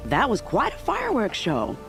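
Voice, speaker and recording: A woman's voice: mid-range voice, wildly swooping pitch, normal speed, vocal fry, music in the background, heavily acted. An actress playing a confident, wry woman spy in a video game, talking in an arch, playful, theatrical way. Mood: wry, brisk. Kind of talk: video game cutscene acting.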